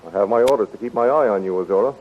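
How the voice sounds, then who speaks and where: A man's voice: on the low side, rapid-fire, slight background noise, close to an everyday voice. A man playing a stern, curt palace guard in a 1930s radio drama, on a thin, phone-like recording. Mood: firm, dismissive.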